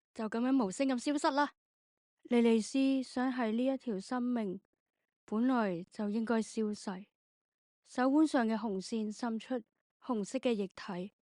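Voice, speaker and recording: A woman's voice: a little high, a little mellow, no echo, studio quality. A woman, reading scripted narration for an audio drama.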